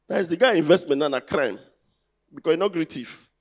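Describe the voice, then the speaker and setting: A man's voice: fairly high pitch, expressive, fairly quick. A man in his thirties with a Nigerian accent doing conversational, teasing stand-up comedy on stage, putting rhetorical questions to the crowd through a handheld mic. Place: large indoor theatre stage. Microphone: handheld stage microphone.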